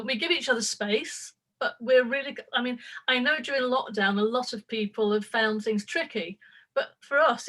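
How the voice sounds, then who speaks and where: A woman's voice: a little high, sharp tone, rough recording. An older woman, a writer being interviewed over a video call, talking openly and affectionately with frequent laughs. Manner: open and affectionate, laughing often, rambling with fillers. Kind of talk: casual interview chat.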